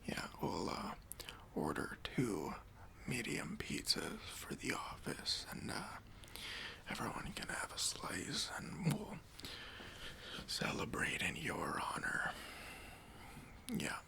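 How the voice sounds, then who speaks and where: A man playing a stingy, demanding boss in an ASMR roleplay, speaking in a breathy, hesitant whisper with condescending politeness, close to the microphone. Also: mid-range voice, huge pitch swings, takes it slowly, pauses often, pushed and bright, exaggerated voice. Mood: smug, officious. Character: stingy, demanding male boss giving a job interview.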